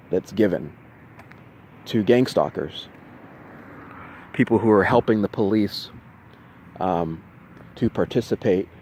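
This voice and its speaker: A man with a fairly low voice talks off the cuff to his camera. He speaks haltingly, often doubling back on his phrases and repeating them. His delivery is insistent and emphatic, as someone venting about his own experiences. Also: dark tone, slight background noise. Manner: halting, repetitive, with restarted phrases. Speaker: vlogger recounting personal experiences. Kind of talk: unscripted video monologue, venting to camera.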